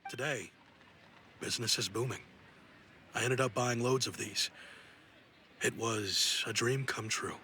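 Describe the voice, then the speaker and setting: A man's voice: mid-range voice, a little slow, long pauses, bright, forceful tone, a little hiss or hum. A man voicing a self-assured, sentimental young businessman in an anime game, reflective and assured. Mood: proud. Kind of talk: anime game dialogue acting. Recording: game music over the voice.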